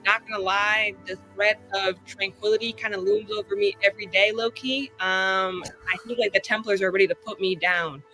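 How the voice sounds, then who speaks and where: Sassy girl playing a tabletop rpg, bright punchy voice, slangy, music in back. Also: mid-range voice, steady tone, moderate pace, hardly any pauses, low-quality recording. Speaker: tabletop game player. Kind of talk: casual character introduction.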